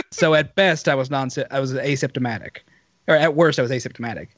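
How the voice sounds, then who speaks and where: A man co-hosting a casual podcast, hoarse and raspy after being sick, telling stories in a rambling, self-interrupting way. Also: medium pitch, brisk, a bit bright, dry recording, highs cut off. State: hoarse, recovering from illness. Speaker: podcast co-host. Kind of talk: casual banter.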